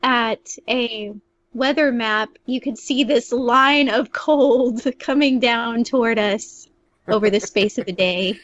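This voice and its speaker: A woman's voice: fairly high pitch, slow, fairly bright tone, no room sound. A woman chatting casually as a guest on a podcast, with dull, dry sound. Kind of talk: casual chat.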